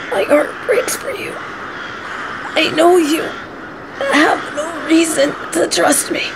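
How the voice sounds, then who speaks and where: Woman doing shaken lady professor agent, audio drama, kinda high voice, slow n halting, fretful, noisy crow sounds behind. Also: creaky, heavily acted. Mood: tearful pleading. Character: shaken, earnest woman professor agent documenting a cursed supernatural entity. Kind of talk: dramatic audio roleplay acting. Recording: noisy outdoor ambience with cawing crows.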